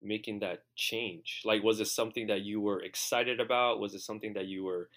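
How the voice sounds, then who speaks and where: Male host, slightly low voice, enthusiastic and encouraging, long wandering questions. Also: steady tone, fairly quick, fairly bright tone. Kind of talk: casual interview conversation.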